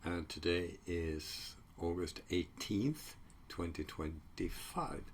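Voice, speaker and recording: A very deep, gravelly-voiced man whose first language is Swedish speaks slowly in a casual solo talk. He halts often with fillers and restarts. Faint noise sits under the recording. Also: expressive. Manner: halting, many fillers and restarts. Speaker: psychology researcher.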